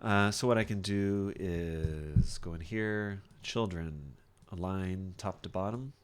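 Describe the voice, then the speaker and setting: Low-voiced man giving a casual software tutorial. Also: slow pace, slight background noise. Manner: informal, thinking aloud while demonstrating. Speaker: software instructor. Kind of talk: casual screen-share tutorial.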